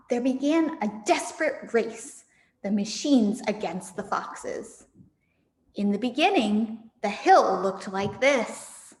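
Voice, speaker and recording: A high-voiced woman reads a children's story with lively, dramatic expression. She takes her time over the words. The room rings with a long echo. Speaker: storybook reader for a camp audience. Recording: echoey room with long reverb.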